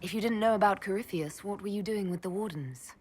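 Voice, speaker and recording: An actress playing a composed, dutiful elf mage leader in a video game, speaking in a measured, dry, questioning way. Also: female voice, medium pitch, a little mellow, breathy edge, lightly acted. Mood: weary, serious. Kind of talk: video game dialogue acting.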